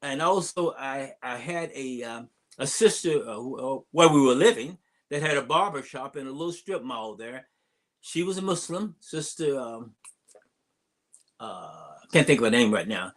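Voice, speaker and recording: An older man, an imam, giving an unscripted public address, telling stories from memory in a halting way with digressions. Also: medium pitch, expressive. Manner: halting delivery with long digressions. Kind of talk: unscripted storytelling address, reminiscing.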